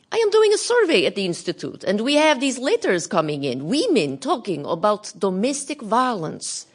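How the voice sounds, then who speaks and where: A Russian woman, a researcher turned activist. She is earnest and determined, with a very animated, mid-pitched delivery in a radio drama monologue. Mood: matter-of-fact. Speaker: actress in an audio play.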